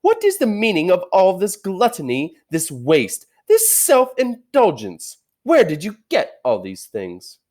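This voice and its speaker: A man voicing a cruel, imperious witch queen who shouts commands in a shrill raised voice. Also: wildly swooping pitch, takes it slowly, hardly any pauses, heavily acted. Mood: furious.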